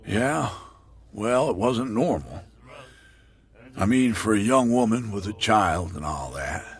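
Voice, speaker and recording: A guarded island barkeeper, proud of the old days and cagey about rumors. His pitch rises and falls with a lively, animated swing as he spins his local tales. He sounds like a character in a narrative video game. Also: takes it slowly. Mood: wary. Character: gruff middle-aged male island barkeeper. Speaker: bartender.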